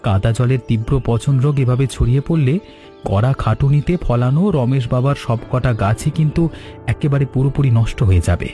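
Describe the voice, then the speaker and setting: A man acts a calm young village son-in-law in a cartoon tale. His voice is mellow and mid-pitched, with steady, formal delivery. Faint music plays behind him. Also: a little fast, lightly acted. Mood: concerned. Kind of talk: Bengali cartoon story dialogue.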